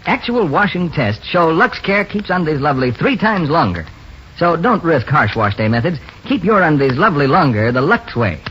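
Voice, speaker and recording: A man with an American accent, reading scripted announcements and sponsor pitches in 1940s radio style. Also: sing-song, normal speed, fairly bright tone, slight background noise. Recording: muffled 1940s radio broadcast recording.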